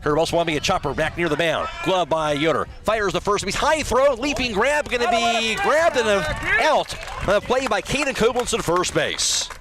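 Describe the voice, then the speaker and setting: A man's voice: sits high, expressive, normal speed, few pauses, noisy background. An American man calling live baseball play-by-play on radio in short, clipped phrases from an outdoor field. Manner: clipped, fragmentary calls of the action.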